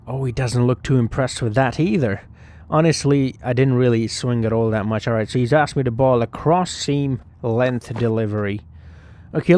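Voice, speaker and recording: A man's voice: medium pitch, darkish tone. A man hosting a gaming video, narrating a sports career story in the first person as a hopeful young cricketer, talking himself through it. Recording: clear background noise and ambience.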